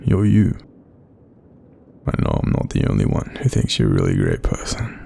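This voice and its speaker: Casting: Australian male actor as a gentle bedside demon, mellow and soft-spoken with lively intonation, self-deprecating and reassuring, for intimate roleplay audio. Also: heavily acted. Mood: tender, encouraging. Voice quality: very deep, breathy, creaky. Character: kindly, apologetic male sleep paralysis demon comforting someone.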